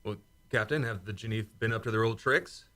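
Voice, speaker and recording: A man's voice: lowish voice, a little fast, pushed and bright. A man acting as a loyal, plain-spoken starship commander in a sci-fi radio play, with military deference and a wry edge. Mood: incredulous.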